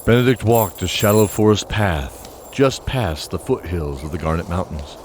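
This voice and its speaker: A man's voice: sits low, expressive, moderate pace, darkish tone, slight background noise. A man reading scripted narration for a fantasy audio drama in a descriptive storytelling manner.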